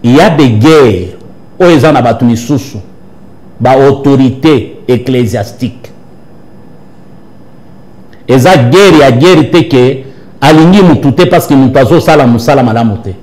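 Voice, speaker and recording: A man's voice: mid-range voice, normal speed, long pauses, darkish tone, low-quality recording. Emphatic preacher man. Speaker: prophet and church leader, guest. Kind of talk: interview answers with preaching cadence.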